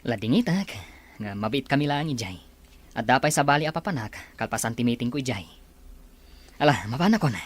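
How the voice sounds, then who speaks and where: Medium-pitched young male boss played by an actor. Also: expressive, a little fast, long pauses, lightly acted. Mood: businesslike. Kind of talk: Ilocano audio drama acting.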